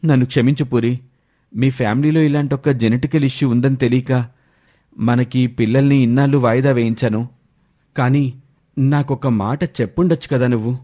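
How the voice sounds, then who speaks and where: A man's voice: medium pitch, fairly even intonation, moderate pace, mellow, close to an everyday voice. A man voicing an earnest young husband in a story, explaining himself seriously. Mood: apologetic, worried. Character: earnest, apologetic young husband devoted to his sister.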